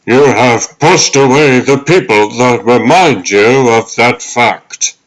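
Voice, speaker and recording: A man voicing the ghost of an old butler, fatherly, earnest and deliberate, in a dramatic comic dub. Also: medium pitch, natural voice, low-quality recording. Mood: solemn, caring.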